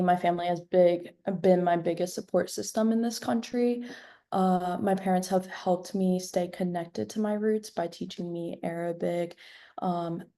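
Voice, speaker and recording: A woman's voice: lowish voice, mellow. A young woman, a university student, answering interview questions in a hesitant way with many fillers. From United States. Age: young adult. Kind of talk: casual interview.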